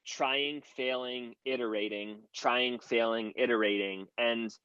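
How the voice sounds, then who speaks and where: A man's voice: mid-range voice, steady tone, unhurried, sharp tone, smooth, dry recording. A man talks through advice in an earnest, rambling way, leaning on "like" as a filler. The sound is dry and slightly dull. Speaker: guest creator giving advice. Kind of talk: casual chat, audio room.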